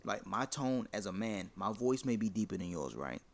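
A man with a low, gravelly voice argues his side bluntly in a casual chat. He speaks emphatically and swears freely, and never softens his point. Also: big pitch swings, normal speed. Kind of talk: heated casual debate.

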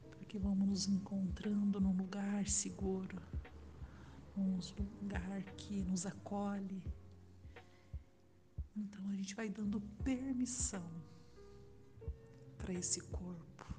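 Slow, soft Brazilian woman guiding meditation. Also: medium pitch, flat delivery. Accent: Brazilian Portuguese. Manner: soft, soothing, with long pauses and breathy phrase endings. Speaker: meditation guide. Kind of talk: guided relaxation meditation. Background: background music.

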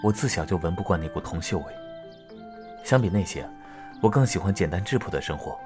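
A man playing a gentle, caring young village teacher of ancient China in a period romance audio drama, tender, patient and unhurried. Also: low-pitched, animated, music in the background. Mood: calm.

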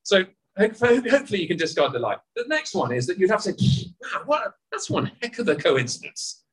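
Animated, high-pitched male preacher. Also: brisk. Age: 40s. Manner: lively, conversational preaching with rhetorical questions. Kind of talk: sermon. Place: outdoor car park service.

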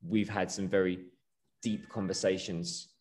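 Male podcast host with a lowish voice; warmly effusive, winding, earnest questioner. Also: level tone, measured pace. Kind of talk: casual podcast interview.

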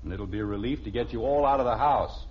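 A man's voice: medium pitch, fast talker, natural voice. An actor playing a grumpy, stern middle-aged American father in an old-time radio sitcom. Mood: grumbling. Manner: stern, grumbling. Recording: muffled, noisy old radio recording.

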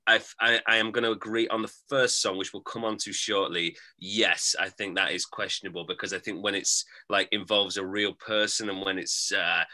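A man's voice: on the low side, fairly even intonation, normal speed, few pauses, bright, forceful tone. A man hosting a podcast, thoughtful and hedging as he steers the conversation. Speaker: podcast host. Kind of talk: casual podcast chat.

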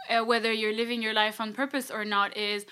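A woman's voice: on the high side, little pitch movement, fairly quick, sharp tone, clear, resonant tone. A young woman from France, a life coach, chatting casually as an interview guest with many fillers and quoted thoughts.

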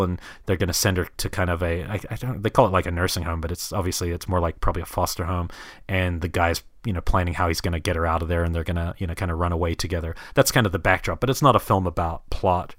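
A man from New Zealand with a deep voice hosts a casual film podcast. He thinks aloud in a rambling, halting way. The sound is very dry, with no room echo. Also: steady tone.

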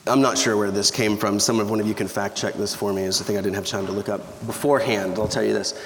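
A man's voice: lowish voice, steady tone, fast talker. A man in his thirties preaching a sermon in a church with a slightly scratchy voice from allergies, talking in a conversational, humorous way. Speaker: pastor. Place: church sanctuary. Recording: echoey church hall with reverb.

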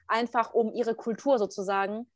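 Opinionated young woman, fairly high dry voice. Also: fairly even intonation, normal speed, no echo. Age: young adult. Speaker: participant in a group talk. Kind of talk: casual opinionated chat, youth slang.

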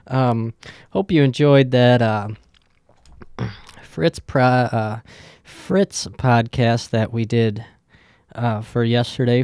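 A man's voice: medium pitch, darkish tone, clean tone, no echo, clean studio sound. A young man hosting his own podcast, talking in a loose, joking and rambling way, with lots of swearing. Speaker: podcast host. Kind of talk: casual rambling monologue.

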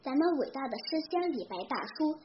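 A teenage girl from Hefei narrating a scripted legend in a careful, expressive recital style. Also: sits high, a little fast. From Hefei, Anhui, China. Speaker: young storyteller. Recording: dull lo-fi recording with clear background noise.

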